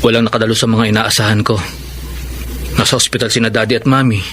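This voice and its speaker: A young Filipino actor playing a hurt, sulky neglected son in a Tagalog radio drama. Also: male voice, on the low side, little pitch movement, quick pace, a bit bright, a little hiss or hum, close to an everyday voice. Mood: hurt, resentful. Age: young adult.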